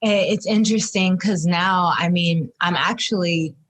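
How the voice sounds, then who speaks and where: A woman's voice: lowish voice, measured pace, a bit bright. A young woman from Trinidad, a doula and guest, talking reflectively in a casual interview with many fillers and restarts. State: three months postpartum.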